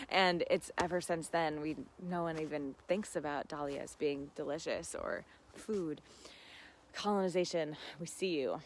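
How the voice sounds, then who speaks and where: A woman's voice: sits low, slight background noise. A woman hosting a casual live gardening Q&A, chatting informally and expressively with enthusiasm as she answers viewer questions.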